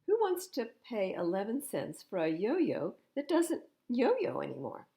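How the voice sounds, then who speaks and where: A dismissive young boy from a children's storybook, acted out by a woman reader. He is blunt and scornful, and his pitch is lively, often lifting high. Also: a little slow, full character voice.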